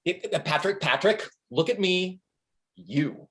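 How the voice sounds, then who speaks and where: A man's voice: sits high, expressive, a little slow, a bit bright. A man in a comedy play reading, playing a brash, crude, cocky tour manager who talks in a bossy, jokey, mock-wise way. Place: remote reading over a video call.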